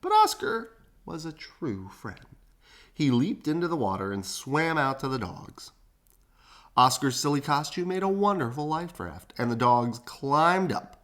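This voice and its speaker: Woman, very low pitch, slow pace, lively intonation, expressive children's read-aloud. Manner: expressive, dramatic pauses. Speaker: storybook reader. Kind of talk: animated children's read-aloud with casual chat.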